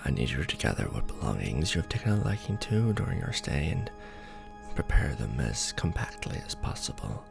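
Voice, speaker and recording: A man playing a courtly, doting vampire lord in an ASMR romance roleplay, speaking formally and deliberately with tender pauses. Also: extremely low pitch, big pitch swings, vocal fry, music in the background, heavily acted. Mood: fond, instructing.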